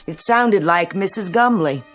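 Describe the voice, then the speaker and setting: A woman acts a sharp, sarcastic wife in a radio play. She draws her words out slowly with big swings in pitch, sounding suspicious and cutting. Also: expressive, natural voice. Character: sharp, nagging, sarcastic wife of struggling shopkeeper. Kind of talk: radio drama acting.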